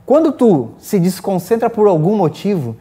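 Southern Brazilian male racer, casual panel chat, high animated voice. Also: normal speed. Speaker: guest drag racer. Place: small indoor studio set.

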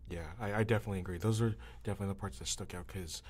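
A man hosting a podcast in casual chat, with a halting, meandering way of talking. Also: low-pitched, fairly even intonation, a little mellow.

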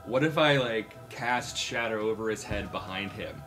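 A man's voice: on the low side, moderate pace, sharp tone. A man playing a tabletop game, talking casually about his character's moves. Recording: music behind the voice.

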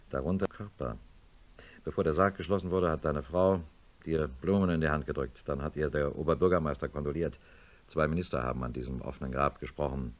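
An actor playing a middle-aged neurosurgeon in a German radio play, speaking in a measured, matter-of-fact and insistent way as he reasons and narrates. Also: extremely low pitch. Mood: grave, insistent. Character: sober male neurosurgeon, blunt old friend, narrating his story.

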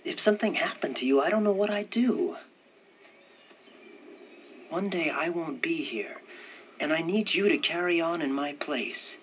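A woman's voice: low-pitched, expressive, a little slow, long pauses, slight background noise. A woman voicing an adult male lion king, a stern but loving father, earnest and admonishing, in a cartoon fan dub. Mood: tender, worried.

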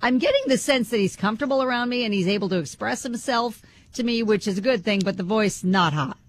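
A woman's voice: fairly high pitch, fast talker. A woman co-hosting a radio talk show, reading a listener's letter and teasing her co-host dryly, on a studio mic. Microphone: studio microphone.

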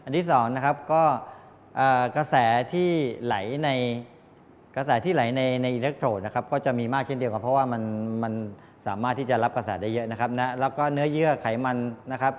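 A middle-aged man from Thailand giving a classroom lecture, explaining haltingly with frequent polite tag particles. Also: medium pitch. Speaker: college lecturer in medical electronics. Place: medium-sized indoor classroom with wooden desks. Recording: echoey classroom, distant pickup, background noise.

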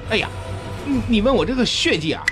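Weepy boastful shopkeeper, sing-song wailing, very lively pitch, comic radio play, music behind. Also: male voice. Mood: flustered, showy. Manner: melodramatic, sing-song wailing with drawn-out words. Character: whiny, boastful second-in-command shopkeeper, prone to weeping.

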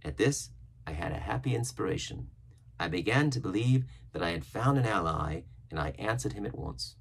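A man's voice: deep voice, lively intonation, normal speed, gravelly, slightly rough. A middle-aged man reading an adventure novel aloud with expression. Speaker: storybook reader.

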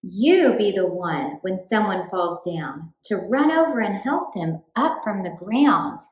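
A woman reading a children's book aloud in an expressive, deliberate storytime style for young children. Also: mid-range voice, reverberant, rough recording. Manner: clear, deliberate, animated delivery for young children.

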